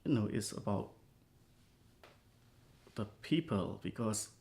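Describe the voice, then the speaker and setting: Soft-spoken man, halting. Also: fairly low pitch, animated. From Myanmar. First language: Burmese. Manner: halting, thoughtful, many pauses and restarts. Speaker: poet interviewee. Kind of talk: reflective interview answers.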